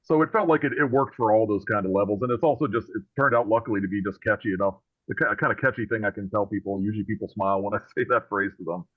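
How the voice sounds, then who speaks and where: A man's voice: fairly low pitch, rapid-fire, hardly any pauses, no room sound. A man being interviewed as a guest author, chatting casually and explaining things in a conversational way. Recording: phone-like thin call audio.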